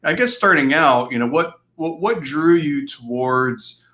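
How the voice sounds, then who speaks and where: A man moderating an interview in a casual, conversational way, somewhat halting as he frames his questions. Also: a little high, lively intonation, fairly bright tone.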